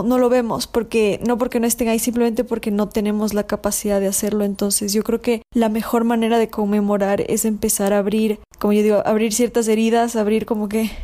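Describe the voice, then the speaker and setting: A woman's voice: a little high, mellow. A woman speaking in a thoughtful, hesitant way in a casual discussion, often repeating words as she thinks.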